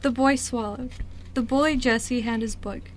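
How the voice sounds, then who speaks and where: A woman's voice: a little high, fairly even intonation, normal speed. A woman reading scripted story narration for an amateur radio drama. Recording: noisy amateur radio recording.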